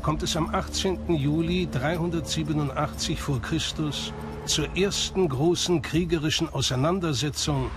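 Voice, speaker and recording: A man reading scripted documentary narration in a measured, dramatic storytelling way. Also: a little high. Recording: music under the narration. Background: background music.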